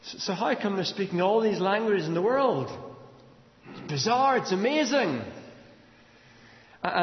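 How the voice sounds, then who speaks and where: An Irish man preaches in a large, very reverberant hall. His voice sits high for a man, and he tells the story dramatically, repeating words and posing questions. The sound is slightly dull. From Ireland. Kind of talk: sermon, expository storytelling from a Bible passage.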